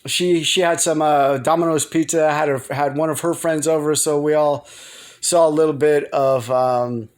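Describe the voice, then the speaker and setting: An American man speaks in a somewhat high-set voice. He rambles casually as a video blogger, hesitating often. He repeats words and circles back to what he was saying. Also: steady tone. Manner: halting, repetitive, trailing off and circling back.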